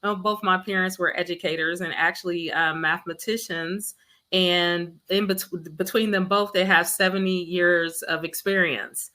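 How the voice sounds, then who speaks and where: Bright-voiced woman from Mississippi, an education administrator, as an earnest interview guest speaking over a video call. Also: on the low side, fairly even intonation, moderate pace. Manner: warm, earnest storytelling with fillers. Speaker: school district chief academic officer.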